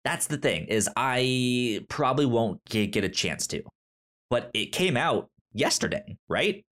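A man with a mid-pitched voice co-hosts a casual pop-culture podcast. He speaks haltingly, often stammering over a word and repeating it before he finds the rest of the sentence. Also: big pitch swings, a bit bright. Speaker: podcast co-host.

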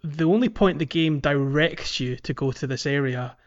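A man's voice: fairly high pitch, normal speed. A man co-hosting a podcast in casual game discussion, often correcting his phrasing as he goes. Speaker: podcast co-host. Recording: muffled lo-fi audio.

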